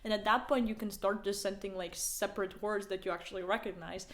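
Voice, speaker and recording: A woman's voice: medium pitch, fairly even intonation, brisk, fairly bright tone, slight background noise. A young woman from Latvia, a native Latvian speaker, co-hosting a podcast in casual, rambling chat full of fillers and laughter. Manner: rambling, filler-heavy, laughs while talking. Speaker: podcast co-host.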